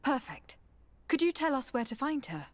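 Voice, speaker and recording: A composed envoy, dry sound. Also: female voice, sits high, big pitch swings, no room sound. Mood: composed, polite. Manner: formal, measured, polite. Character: composed, diplomatic young noblewoman envoy. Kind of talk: video game voice acting. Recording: dry voice, faint game music. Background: faint music.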